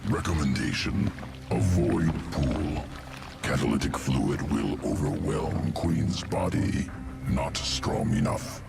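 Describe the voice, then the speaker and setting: A cold alien geneticist creature with a cavernously low, heavily creaking voice. It reports in terse, clinical fragments, as in a game cinematic, with loud music underneath. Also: male voice, very animated, slow pace, full character voice. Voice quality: deep, guttural, gravelly. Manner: terse clinical phrases without articles. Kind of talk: video game cinematic acting. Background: loud game soundtrack.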